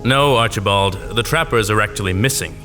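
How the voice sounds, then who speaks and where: A man's voice: fairly low pitch, a little fast, pushed and bright. A man playing a noble, composed knight commander in a fantasy audio drama, formal and reserved. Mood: calm, assured. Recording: voice over background music.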